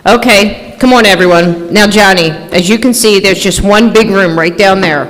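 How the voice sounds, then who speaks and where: A man's voice: sits high, moderate pace, fairly bright tone. An actor playing an eager local townsman guiding a ghost hunt in an old-time radio drama on stage. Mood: eager, spooked. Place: stage in a large reverberant room. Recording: live stage hall, reverb, faint noise.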